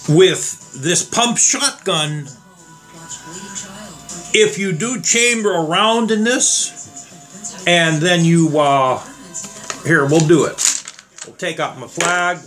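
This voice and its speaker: A slightly hoarse man talking casually and rambling as he tests trigger locks on guns for his viewers. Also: on the high side, slow pace, pauses often, a bit bright, soft background music. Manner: rambling, plain-spoken, addresses viewers as guys. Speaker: gun reviewer. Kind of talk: casual demo vlog.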